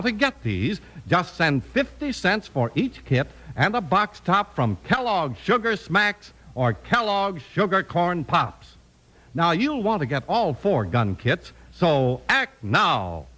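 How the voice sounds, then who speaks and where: A man's voice: high-pitched, big pitch swings, slow pace, a bit bright. A man announcing in the emphatic, scripted style of a 1950s radio show. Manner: emphatic salesman-like delivery. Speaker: announcer and narrator.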